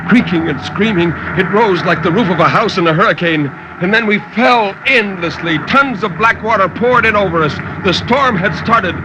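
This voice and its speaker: A man's voice: high voice, moderate pace, hardly any pauses, natural voice. An actor playing a stern sea captain who recounts his ordeal in formal, theatrical 1950s radio horror narration. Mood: urgent. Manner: theatrical, intense, formal diction. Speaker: storyteller. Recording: dull, lightly noisy 1950s radio recording.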